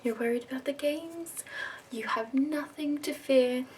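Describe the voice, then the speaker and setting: A woman in her twenties voicing a prim, fussy, upbeat woman makeup escort from the capital, in a soft whispered ASMR roleplay. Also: high voice, measured pace, slight background noise. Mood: prim, soothing.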